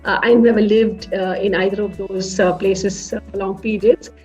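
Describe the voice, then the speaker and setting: A woman in her fifties from India with a South Indian English accent, looking back on her life in a reflective interview, pausing often on "uh". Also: medium pitch, flat delivery, darkish tone, far from the mic, faint music behind, rough recording. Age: 50s. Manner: reflective, many "uh" fillers and restarts. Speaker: senior tech executive. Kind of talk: interview recollection.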